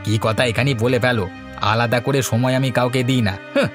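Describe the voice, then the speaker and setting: A man's voice: medium pitch, fairly even intonation, fairly quick, lightly acted. A man playing a rude, hot-headed village bully in a cartoon, with a curt, scornful, aggressive delivery. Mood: irritated, scornful. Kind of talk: Bengali cartoon story voice acting. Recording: music behind the voice.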